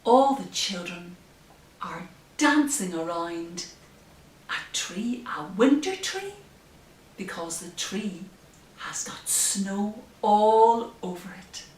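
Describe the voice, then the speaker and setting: A middle-aged woman presenting story time for young children, expressive and animated, in simple phrases addressed to little ones. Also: medium pitch, takes it slowly. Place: living room, indoors. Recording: roomy, distant camera microphone.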